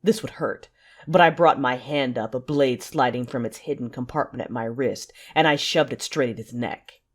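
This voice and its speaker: A woman playing a proud, vengeful warrior, telling the story in intense, measured first-person narration for an audio drama. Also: extremely low pitch. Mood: strained, defiant. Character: proud, vengeful wounded warrior turning to the dark side.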